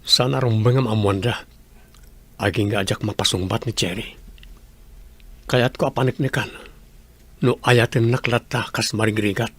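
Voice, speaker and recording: A man acting as a scheming, confiding friend in an audio drama, with a husky voice. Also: lowish voice, steady tone, normal speed, pauses often, dry recording.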